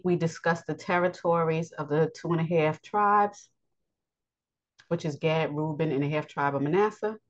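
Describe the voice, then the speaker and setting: A woman from the United States giving an unscripted Bible lesson, earnest and repetitive with frequent ums. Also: low-pitched. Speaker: Bible teacher. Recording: dull lo-fi audio.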